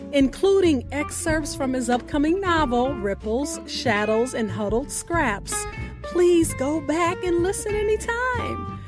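High-pitched woman hosting over guitar music. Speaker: radio show host. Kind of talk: scripted radio show outro over music.

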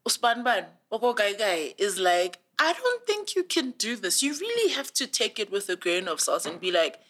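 A woman's voice: mid-range voice, moderate pace. A young woman in her twenties with a South African accent, talking earnestly and with feeling in a casual interview, with lots of "like" fillers. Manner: earnest, animated storytelling with many "like" fillers. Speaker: guest.

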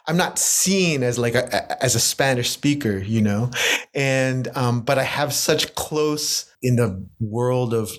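An American man in casual conversation, speaking at an ordinary middle pitch. He thinks aloud, circling back over his phrases with small restarts and soft laughter. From United States. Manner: reflective, halting with frequent restarts and laughs. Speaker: poet and guest.

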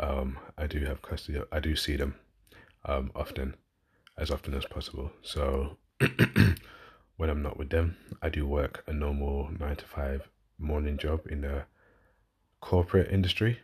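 Thirties London man, podcast host, very low flat delivery, loose and rambling. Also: unhurried, pauses often, husky. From London, England. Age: 30s. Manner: rambling, self-correcting, frequent fillers. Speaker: podcast host and fitness coach. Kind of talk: casual personal monologue.

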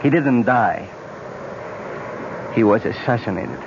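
A man playing a courteous, solemn young prince in danger, speaking with measured politeness in a 1970s radio drama. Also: medium pitch, lively intonation. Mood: grave. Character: grave, courteous young prince marked for death. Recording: muffled, very noisy old radio recording.

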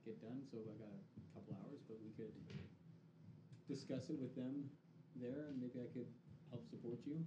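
A man's voice: mid-range voice, fairly even intonation, moderate pace, pauses often. A man chatting casually as a player in a tabletop game. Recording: distant, dull, echoey noisy room.

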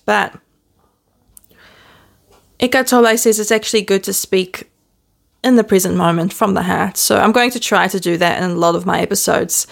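A woman with a New Zealand accent speaks at a middle pitch with a noticeable gravelly fry running through her words. She talks to camera in a gentle, candid and reflective way, often with a soft laugh at herself. It sounds like an unscripted home recording. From born in the Netherlands, raised in New Zealand. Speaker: podcast host. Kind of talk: casual reflective monologue to camera. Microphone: self-filmed video camera.

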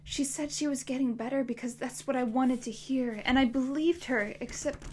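A woman's voice: fairly high pitch, unhurried, warm tone, a little hiss or hum, close to an everyday voice. Woman voicing a desperate grieving teenage boy. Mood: distraught. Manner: pleading, voice rising, choked.

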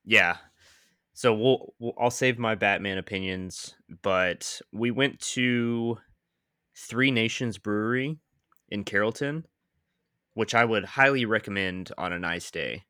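A man's voice: fairly low pitch, slow, bright, forceful tone, clear, resonant tone, no room sound. A man, co-hosting a casual sports podcast with wry, dry jokes and frequent laughs. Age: 20s. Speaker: podcast co-host.

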